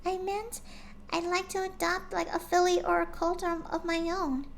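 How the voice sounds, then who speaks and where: Girl doing a shy gentle pony gal, high soft voice, quiet and sweet. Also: level tone, normal speed, creaky, a little hiss or hum. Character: gentle shy young female pegasus pony.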